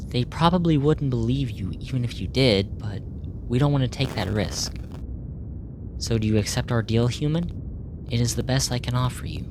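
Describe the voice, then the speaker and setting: A woman playing a curious, earnest alien researcher in an ASMR roleplay, speaking formally and clinically, puzzled by human feelings. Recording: noisy with low rumble.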